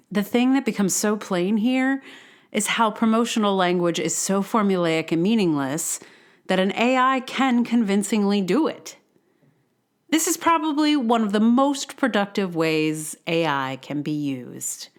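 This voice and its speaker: A woman reads a personal essay aloud in a voice pitched a little low. Her delivery is scripted yet candid, with wry, measured emphasis on key phrases. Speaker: writer and podcaster reading an essay.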